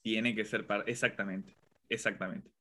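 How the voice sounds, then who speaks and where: A man's voice: on the low side, fairly even intonation, moderate pace, sharp tone. A young man from Venezuela with a mixed Venezuelan, Colombian and Argentine Spanish accent, telling his life story reflectively as an interview guest. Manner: tells anecdotes, acts out childhood voices.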